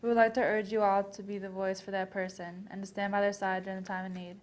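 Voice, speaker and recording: A woman's voice: medium pitch, flat delivery, fast talker, close to an everyday voice. A young woman performing a scripted readers theater piece, reading aloud with occasional stumbles. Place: college library event. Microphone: worn microphone.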